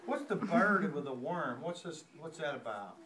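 A man in his sixties reading a storybook aloud to young children in an expressive way, pausing to ask them questions. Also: on the high side. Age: 60s. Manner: expressive, repeats refrains, asks children questions. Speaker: guest reader to a class of young children. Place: indoor classroom with young children. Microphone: distant handheld camera. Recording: distant mic, echoey room, noisy with clicks.

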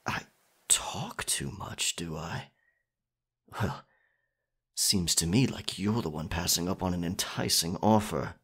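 A man as a husky, low-voiced pirate captain. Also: exaggerated voice. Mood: wry, bargaining. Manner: wry, halting, theatrical pirate talk. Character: captured male pirate captain, defiant, roguish and flirtatious. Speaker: audio roleplay performer. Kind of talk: ASMR roleplay acting, one-sided dialogue.

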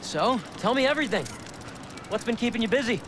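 An actor playing an eager, warm young man reuniting with his best friend in a video game cutscene. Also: extremely high pitch, animated, fairly quick, background noise. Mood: upbeat, teasing. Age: young adult. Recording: game audio with music behind.

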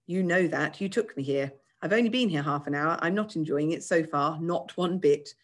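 A woman voicing a timid nine-year-old boy in a storybook she reads aloud, speaking slowly and reluctantly. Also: low-pitched.

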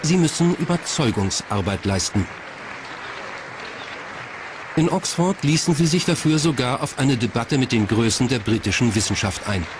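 A man narrates a documentary from a script. His voice sits at a medium pitch. There is some light ambient noise behind him. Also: expressive, normal speed, crowd noise.

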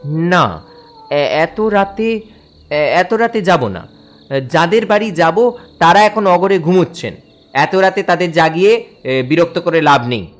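A man playing a polite, hesitant city traveller stranded at night in a horror cartoon, with halting, stammering speech. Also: on the high side, expressive, pitched above the performer's own voice. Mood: reluctant. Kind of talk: Bengali horror cartoon storytelling.

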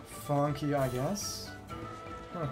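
A man's voice: a little high, extremely slow, over music. A man streaming a video game, giving casual live commentary in short fragments, muttered to himself.

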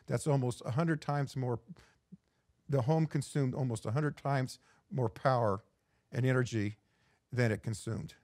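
Middle-aged man, keynote speaker, measured delivery, mid-range voice, dry close sound. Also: mid-range voice, a little mellow, no echo. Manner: measured, reads from slides with occasional restarts. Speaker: executive director giving a keynote. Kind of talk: prepared keynote presentation. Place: indoor studio in front of a backdrop.

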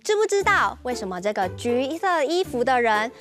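Young woman, Taiwanese accent, kids' TV story host; high-pitched, over soft music. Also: big pitch swings, moderate pace. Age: young adult. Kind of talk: children's story narration and questions. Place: TV studio set.

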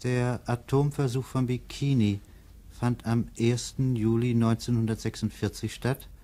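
A man reading interludes as a radio play narrator, measured and grave. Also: medium pitch, little pitch movement, dark tone, natural voice. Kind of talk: solemn scripted radio narration.

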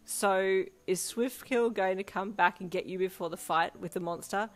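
A woman voicing an excitable, teasing young player character with a bubbly manner, in a reader-insert story. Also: medium pitch, moderate pace, pitched above the performer's own voice, close to an everyday voice. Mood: excited.

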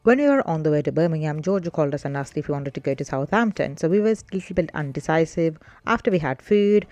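A woman's voice: low-pitched, lively intonation, very fast, dark tone, dry recording, highs cut off, faint music behind. A young woman narrating her travel vlog, chatty and laughing often, filmed on a phone. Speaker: vlogger. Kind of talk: casual vlog narration and chat.

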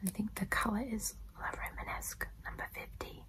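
A young woman speaks in a hushed whisper, close to the mic. Her delivery is gentle and casual, like an ASMR host chatting through a show-and-tell, with a mid-range pitch. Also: steady tone, moderate pace.

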